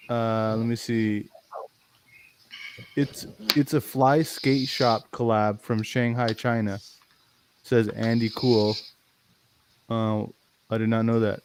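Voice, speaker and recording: A man's voice: mid-range voice, flat delivery, takes it slowly, long pauses, noisy background. A man hosting a sneaker livestream, chatting casually, swearing freely, and reading viewer comments aloud. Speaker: livestream host.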